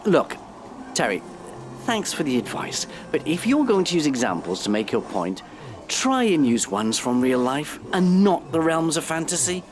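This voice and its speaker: A man's voice: a little high, sing-song, moderate pace. A man acting in an audio play as a prim, bookish, easily exasperated character, pedantic and stuffy. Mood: indignant, exasperated. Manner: pedantic, stuffy, hesitant when flustered.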